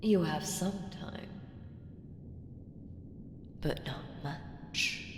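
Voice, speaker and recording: A woman's voice: sits low, extremely slow. A woman voicing an ancient evil entity in an ASMR roleplay, seductive, sly and taunting, with ominous teasing and whispered phrases. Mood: coaxing, ominous. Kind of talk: ASMR roleplay audio drama. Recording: reverberant hall effect, faint noise.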